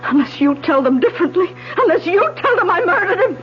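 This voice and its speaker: An actress playing Helen, a distraught widow who stammers and turns tearful, in 1940s radio-drama style. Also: female voice, very high voice, big pitch swings, quick pace, slight background noise. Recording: dull old radio broadcast with music.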